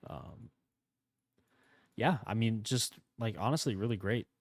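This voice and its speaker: A man with a slightly hoarse voice from a starting cold, casually commenting on his gameplay as he thinks aloud. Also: on the low side, measured pace, no echo. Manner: rambling, relaxed, thinking aloud. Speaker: gaming channel host.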